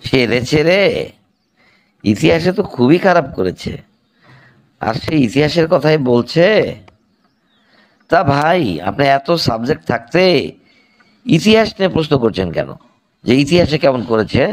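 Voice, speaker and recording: Man doing a dry teasing cartoon tutor, a bit high-pitched, lively up and down. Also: measured pace, pauses often. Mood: wry. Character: dry, sarcastic private tutor. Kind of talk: cartoon comedy dubbing.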